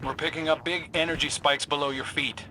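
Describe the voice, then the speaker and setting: A man's voice: fairly high pitch, fairly even intonation, a little fast, sharp tone, a little hiss or hum, rough recording. A man playing an easygoing young scout in a video game, with dry, friendly, conversational banter. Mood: wry, friendly. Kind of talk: video game radio chatter.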